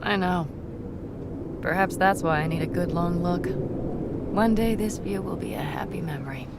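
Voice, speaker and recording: An actress playing a proud, guarded nomad who speaks frankly and wistfully. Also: female voice, lowish voice, unhurried. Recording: game audio with outdoor ambience. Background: ambient noise.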